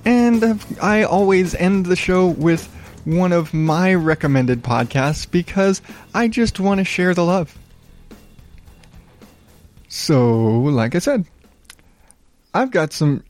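A man in his thirties hosting his own podcast, rambling casually in a calm, smooth voice and poking fun at himself. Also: sits high. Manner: rambling, self-deprecating, reads reviews aloud. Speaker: podcast host. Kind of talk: casual solo podcast monologue.

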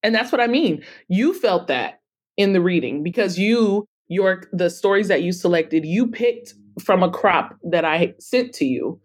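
A woman's voice: fairly low pitch, lively intonation, unhurried, breathy edge. A woman speaking as an interview guest in a conversational, emphatic way. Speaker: podcast guest, author and publisher.

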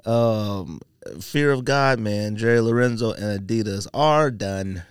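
A man hosting a podcast in casual, slangy conversation with animated banter. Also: on the low side, unhurried, clear, resonant tone, no room sound, studio quality. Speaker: podcast host. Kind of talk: casual sneaker chat.

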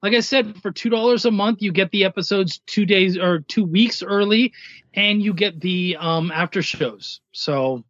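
A man's voice: high voice, measured pace, fairly bright tone, dry recording. A man speaking casually as a writer and editor being interviewed on a podcast, in slightly dull, lo-fi sound.

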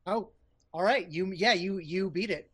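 A man's voice: high voice, moderate pace, fairly bright tone, slight background noise. A man playing a character in a tabletop game, thinking aloud and checking the rules as he goes. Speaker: player. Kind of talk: casual tabletop play.

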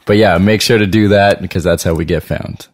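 Joking low-voiced man cohost. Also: a little fast, no room sound. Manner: joking, relaxed. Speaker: podcast co-host. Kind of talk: casual chat.

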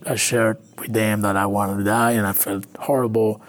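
A man from Venezuela, a native Spanish speaker with a Latin American accent, telling his recovery story haltingly in an interview. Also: lowish voice, level tone. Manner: halting, with frequent restarts and fillers. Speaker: interview guest. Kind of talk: personal recovery story, conversational.

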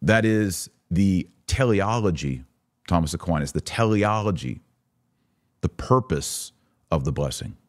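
A man's voice: low voice, animated, measured pace, no room sound, clean studio sound. A man hosting a podcast of religious commentary, reading aloud and reacting in an emphatic, informal, argumentative way.

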